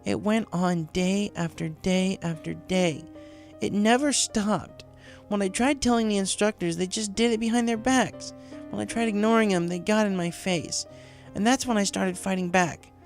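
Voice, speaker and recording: A man voicing a hurt, defiant young female pegasus pony in a storybook reading, gravelly and bitterly confiding. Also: sits high, normal speed, faint music behind, pitched above the performer's own voice. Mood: bitter, wounded. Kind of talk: acted storybook dialogue.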